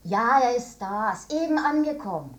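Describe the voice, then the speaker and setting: A woman's voice: a little high, lively intonation, normal speed, close to an everyday voice. A woman playing a fussing older mother in a German radio drama, pushing food and nagging under her breath. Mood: announcing.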